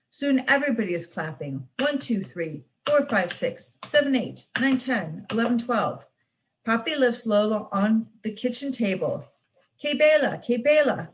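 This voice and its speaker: Young woman reading a picture book aloud. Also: a little high. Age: young adult. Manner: expressive read-aloud, playful with sound words. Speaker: student reader.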